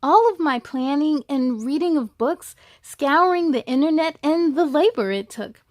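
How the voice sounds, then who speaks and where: A woman's voice: high voice, animated, a little slow, no room sound, studio quality. A woman voicing a determined, upbeat female triathlete from a children's storybook in an earnest, emphatic way. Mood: proud.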